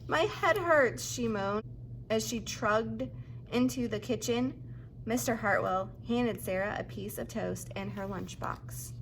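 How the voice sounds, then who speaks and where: A woman reading a children's picture book aloud as a teacher would, with expressive narration. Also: mid-range voice, measured pace, creaky, a little hiss or hum, poor audio.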